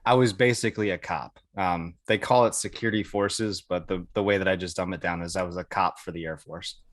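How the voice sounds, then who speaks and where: Low-pitched American man telling casual stories. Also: fairly even intonation, brisk, no echo, clean studio sound. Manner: colloquial, amused, chuckles through stories. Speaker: podcast guest, Air Force veteran. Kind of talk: casual anecdotal storytelling.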